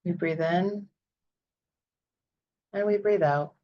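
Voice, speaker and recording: Female meditation guide: very slow, soothing, lowish voice, long pauses, slightly muffled lo-fi recording.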